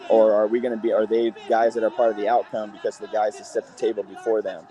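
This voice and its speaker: An American man, a high school football coach, answering interview questions earnestly and repeating phrases for emphasis. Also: flat delivery, quick pace, warm tone.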